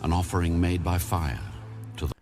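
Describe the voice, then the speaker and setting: A man narrating scripture in a measured, solemn, deliberate way, like an audiobook of the Bible. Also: deep voice, steady tone. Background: background music.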